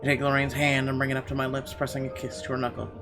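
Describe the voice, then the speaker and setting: Creaky-voiced man reading a game aloud. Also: medium pitch, monotone, rapid-fire. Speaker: gaming video host. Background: game music.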